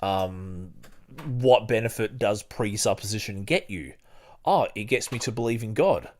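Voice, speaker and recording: A man with a fairly low voice hosts a podcast. He speaks in a casual, discursive way, feeling his way through phrases and sometimes reading aloud. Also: expressive, a little slow, darkish tone. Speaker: podcast host.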